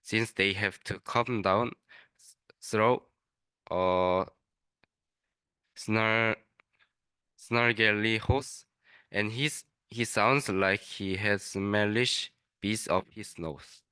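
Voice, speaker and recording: A young man, a native Korean speaker, reading a rhyming children's story aloud haltingly, stumbling over words. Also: lowish voice, fairly even intonation, bright, forceful tone, clean tone, no echo. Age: young adult. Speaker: student reader.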